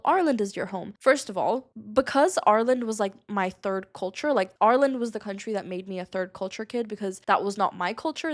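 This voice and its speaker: Twenty-something woman oversharing to camera, chatty, lots of "like"s. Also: a little high. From Latvia. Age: 20s. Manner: chatty, confiding, many "like"s, brief laughs. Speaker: vlogger. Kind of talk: candid personal chat to camera.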